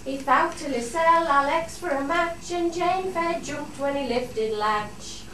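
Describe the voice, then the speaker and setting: Slow Cumbrian woman singing in echoing room. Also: high-pitched, pushed and bright. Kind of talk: dialect folk ballad singing with refrain. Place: studio theatre. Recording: very echoey, distant, faint noise.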